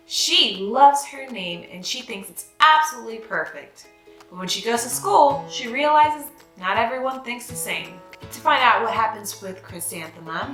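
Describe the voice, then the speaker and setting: A woman narrating a children's read-aloud as host, with expressive, deliberate delivery for children. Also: fairly high pitch, roomy sound. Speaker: storybook reader, channel host.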